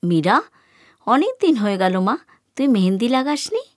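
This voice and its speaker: A woman's voice: a little high, very animated, moderate pace, no echo, clean studio sound. A woman voicing a hardworking widowed mother in a cartoon story, scolding but tender. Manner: scolding, then gentle.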